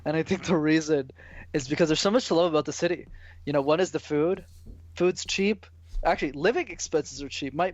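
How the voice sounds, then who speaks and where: A man from Canada answering interview questions casually and relaxed, using mild slang. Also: a little high, vocal fry, dry recording, dull audio. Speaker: guest, public speaking coach.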